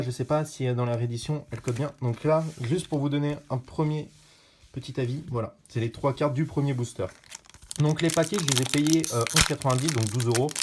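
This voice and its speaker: A man talks casually through opening card packs at a middle pitch, naming each card as it comes up. He is chatty and jumps to excited shouts at the good ones. Soft crinkling noise sits faintly behind him. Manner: chatty, bursts into excited high shouts at rare pulls. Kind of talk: casual unboxing commentary.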